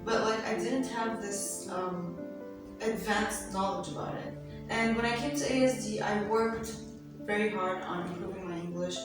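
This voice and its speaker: A woman's voice: medium pitch, moderate pace. A young woman whose first language is Arabic talks in a loose, chatty way, leaning on "you know" and "like". She sounds distant from the mic in a very echoey room. Music plays underneath her. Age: young adult. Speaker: interview guest, university student. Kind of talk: casual interview. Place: school set.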